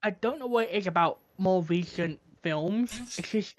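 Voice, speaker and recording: A man's voice: high voice, normal speed. A man co-hosting a podcast in casual chat, tentatively searching for his words. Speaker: podcast co-host.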